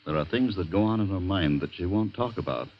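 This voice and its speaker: An actor playing a middle-aged guardian, polite but disapproving and insinuating, in 1940s radio drama. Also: male voice, low voice, lively intonation, a little fast. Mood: grave, disapproving. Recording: dull vintage radio recording.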